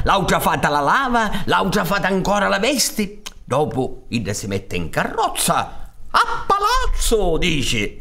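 A man's voice: high-pitched, gravelly. A man in his sixties with a Sicilian accent narrating a folk tale in an emphatic, theatrical way. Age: 60s. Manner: emphatic, expressive, gesturing. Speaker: storyteller. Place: theater stage. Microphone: close studio microphone on stand.

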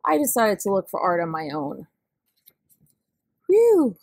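A woman reading a picture book aloud expressively, with deliberate delivery and dramatic pauses. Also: mid-range voice, wildly swooping pitch, a little mellow, slight background noise. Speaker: storybook reader.

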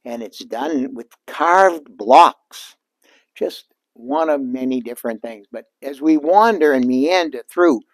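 A man's voice: a little high, a little mellow, no room sound. An older man hosting a walking tour of a large furniture showroom, talking to viewers in an enthusiastic salesman's patter through a lapel mic.

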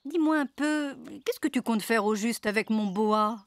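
A woman voicing a jolly, affectionate older nanny bear in a preschool cartoon, playful and expressive. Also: fairly high pitch, moderate pace, natural voice.

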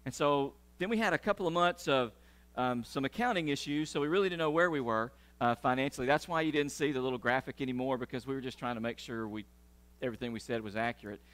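A man's voice: mid-range voice, fast. A middle-aged man, a pastor giving church announcements in sermon style, talking animatedly as he moves around a large church sanctuary. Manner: animated, gesturing while pacing the stage.

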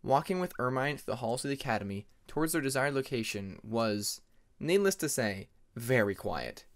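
A man's voice: medium pitch, animated, moderate pace. A man reading scripted story narration as an audiobook narrator.

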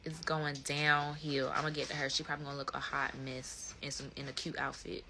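A woman in her twenties with an American accent, chatting casually and playfully on a livestream in animated slang. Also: low-pitched, brisk, slight background noise. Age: 20s. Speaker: livestream host. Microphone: phone at close range.

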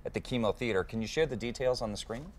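A man's voice: medium pitch, slight background noise. A man in his thirties hosting a morning TV studio interview, upbeat and chatty. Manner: upbeat, quick to fill gaps with "mm-hmm". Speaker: morning TV host. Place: TV studio set, indoors.

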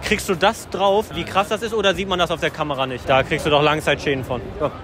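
Young man, higher-pitched casual vlogger. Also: fairly even intonation, fast, over music. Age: 20s. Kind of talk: casual chat to camera.

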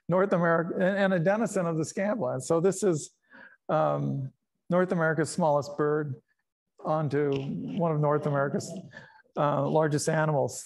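Gravelly man giving a rambling slide talk. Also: on the high side, darkish tone. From Panama. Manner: rambling, with many fillers and restarts and chuckles. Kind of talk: casual illustrated talk.

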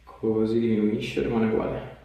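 A man's voice: fairly low pitch, fairly even intonation, moderate pace, gravelly, lo-fi sound. A man, a chiropractor giving short, clipped directions to a patient in a small treatment room. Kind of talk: hands-on instructions to a patient, casual chat. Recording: very echoey room, distant mic, faint noise.

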